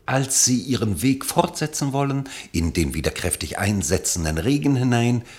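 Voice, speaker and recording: An actor playing a wry storyteller, delivering scripted literary narration in a radio play. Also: male voice, mid-range voice, expressive, moderate pace, a little mellow, close to an everyday voice. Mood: measured, wry.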